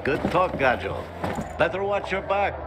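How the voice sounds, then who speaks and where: Gravelly, swaggering tough man. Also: a little high, very animated, moderate pace. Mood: cocky menace. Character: swaggering, menacing male rival mixing in foreign phrases. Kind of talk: video game cutscene dialogue. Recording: music and crowd behind.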